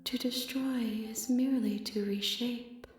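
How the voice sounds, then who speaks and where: A woman's voice: on the high side, steady tone, close to an everyday voice. Woman as echoing, otherworldly eldritch entity. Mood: cryptic, solemn. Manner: solemn, slow, enigmatic. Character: cryptic, detached newborn eldritch entity, vast and otherworldly. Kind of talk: scripted video game dialogue. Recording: heavy echo, distant, music underneath.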